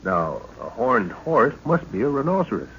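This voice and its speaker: A man playing a middle-aged professor and father leading an expedition, measured and authoritative, in old-time radio drama style. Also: medium pitch, very animated, fairly bright tone, breathy edge, heavily acted. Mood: explaining. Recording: muffled, noisy old radio recording.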